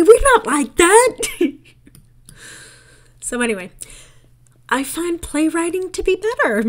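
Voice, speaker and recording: A young woman with a New York accent chatting casually and laughing often as she plays through and reads a story game demo. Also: high-pitched. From New York City. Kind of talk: casual game walkthrough commentary.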